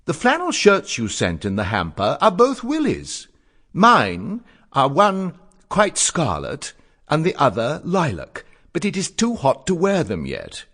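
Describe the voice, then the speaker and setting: An actor playing a witty, dandified, eloquent young letter writer in a theatrical, florid, articulate reading of letters. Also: male voice, mid-range voice, heavily acted. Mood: boyish, eager. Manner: florid, expressive, measured and articulate.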